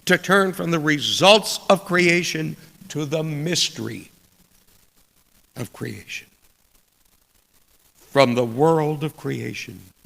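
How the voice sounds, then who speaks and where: Slow gravelly male preacher in echoing hall. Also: medium pitch, pushed and bright. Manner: deliberate, measured, with dramatic pauses. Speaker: preacher reading a sermon. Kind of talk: sermon with read quotations. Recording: long echoing hall reverb.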